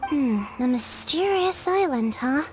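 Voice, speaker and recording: Poised female chief for a fantasy anime game: high voice, courteous, measured, confident, music underneath. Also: lively intonation, low-quality recording. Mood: welcoming. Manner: measured, polite, formal storytelling. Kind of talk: anime-style game voice acting.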